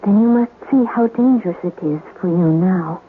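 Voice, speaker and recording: A woman's voice: lowish voice, normal speed, lightly acted. Actress as a solemn ghostly woman, tinny old radio. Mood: grave warning. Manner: formal, grave, ominous speech. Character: ghost of a sea captain's wife, solemn, warning. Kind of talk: 1970s radio drama acting. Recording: thin phone-like old radio recording, hiss.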